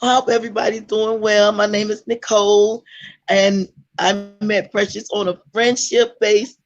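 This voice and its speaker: Hoarse woman on a dull, lo-fi call. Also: mid-range voice, flat delivery, moderate pace. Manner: earnest, soft-spoken, repeats herself. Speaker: guest. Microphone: video call.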